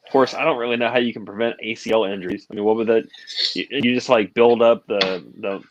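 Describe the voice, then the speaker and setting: A man's voice: fairly low pitch, steady tone, fast, dry recording, dull audio. A man in his late thirties, co-hosting a casual podcast chat and rambling through explanations. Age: 30s. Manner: rambling, explains with hand-wavy comparisons. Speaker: podcast co-host.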